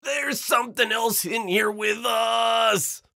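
Man acting a frantic, gravelly police commander. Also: very high voice, fairly even intonation, slow, sharp tone, no echo, shouting, clean studio sound, pitched above the performer's own voice, exaggerated voice. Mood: panicked. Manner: barking orders, frantic. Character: urgent male police commander hunting a monster.

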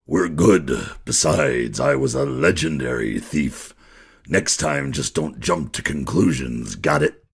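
A man playing a gruff, surly, terse thief with a gravelly, husky growl in an audio drama. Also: rumbling low, very animated, slow pace, heavily acted.

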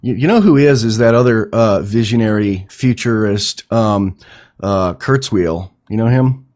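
A man's voice: lowish voice, a little slow, darkish tone. A man hosting a podcast in casual conversation, talking in a rambling, enthusiastic way with some swearing. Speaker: podcast host.

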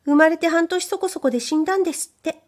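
A shy young maidservant, whispering and bashful, her voice lifted high and light, as a woman narrator puts her on. She speaks softly and hesitantly, in a gentle storybook reading. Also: fast. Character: shy young maidservant girl, soft-spoken.

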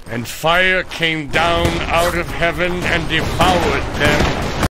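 An actor playing an old, grim and tormented gunslinger preacher in a western game, gravelly, solemn and biblical in his delivery. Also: a little high, over loud music. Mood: wrathful. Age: older. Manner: solemn, biblical, slow and weighty. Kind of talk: western video game acting.

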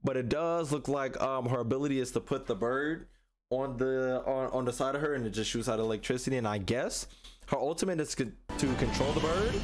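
Lively young American man reacting on camera. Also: mid-range voice. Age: young adult. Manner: slangy, repeats phrases as he thinks aloud. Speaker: reaction video host. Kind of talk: casual reaction commentary. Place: indoor room at home, webcam setup. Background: video game trailer music and audio.

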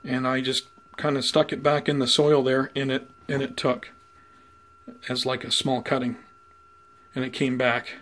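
A man giving an unhurried, conversational show-and-tell as a hobby vlogger, talking casually indoors. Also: mid-range voice, little pitch movement, a bit bright. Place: indoors at home by plant shelves. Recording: clear background noise, room ambience.

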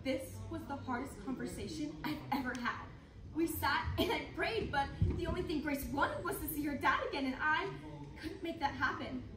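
A young woman acts out an earnest youth pastor recounting her story on stage. Her voice is high and projected, with emphatic theatrical delivery. It is caught from far off in an echoey room with audience sounds. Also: moderate pace, a crowd in the background, close to an everyday voice. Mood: somber, gentle. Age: young adult. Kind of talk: readers theater performance, storytelling narration. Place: large conference room, judges' table in front. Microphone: distant camera.

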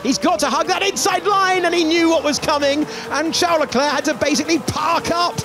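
A man giving excited motorsport race commentary as the commentator of a broadcast. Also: extremely high pitch, brisk. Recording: loud music behind commentary. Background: music and racing car engines.

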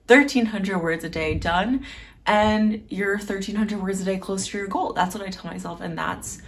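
A woman's voice: medium pitch, moderate pace. Young woman chatting casually, slightly distant mic. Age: young adult. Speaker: novelist vlogger. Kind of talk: casual vlog chat to camera. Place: small home room with desk.

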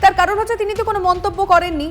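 A woman's voice: very high-pitched, steady tone, rapid-fire, fairly bright tone, faint music behind. A woman anchoring live news and putting questions to a reporter.

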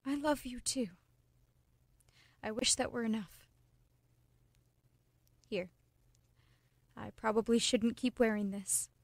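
A young woman acting in a radio play as a headstrong, quick-witted girlfriend with a pointed way of talking. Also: fairly high pitch, no echo. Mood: sad, resigned. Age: young adult. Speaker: student actor.

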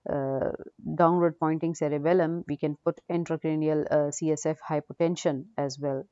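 A woman with a low, mellow voice teaches as a radiology lecturer, talking through cases without a script. She explains hesitantly and repeats herself. The recording is dry and muffled. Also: fairly even intonation, normal speed, no echo. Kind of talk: unscripted case-review lecture. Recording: dull, dry lo-fi audio.

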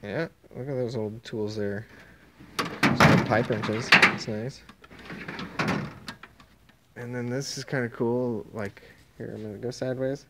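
A man's voice: mid-range voice, fairly even intonation, slow, pauses often, heavy background noise. A man casually walking through a warehouse with a phone camera, listing auction items in an offhand way that trails off mid-phrase. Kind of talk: casual walkthrough narration. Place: indoor warehouse and tent. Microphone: handheld phone camera.

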